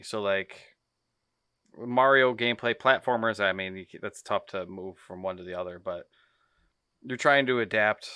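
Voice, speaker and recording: Clear, bright, low-voiced man explaining casually. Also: no echo, studio quality. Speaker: podcast co-host. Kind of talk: casual chat, explanatory discussion.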